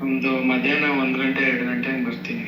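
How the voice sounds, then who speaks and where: A man's voice: mid-range voice, little pitch movement, fast, a crowd in the background. A man in a casual phone conversation, speaking as a puzzled, questioning customer. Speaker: customer receiving a prank call. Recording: echoey phone call with clear noise.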